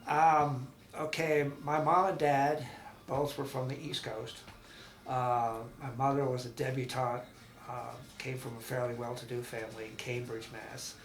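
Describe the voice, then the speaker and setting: A man in his sixties from Massachusetts, recalling his life in a halting, rambling oral-history interview at home. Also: medium pitch, flat delivery, echoey room. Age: 60s. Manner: rambling, halting with many ums and restarts. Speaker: veteran interviewee.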